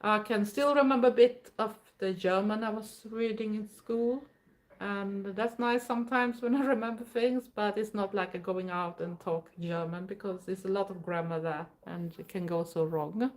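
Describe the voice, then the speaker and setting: A woman's voice: mid-range voice, fairly even intonation, moderate pace. Swedish woman, second-language English, livestream host; chatty and rambling, easy laughter. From Sweden. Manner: chatty, rambling, with frequent fillers and soft laughs. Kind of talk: casual live-stream chat reading viewer comments.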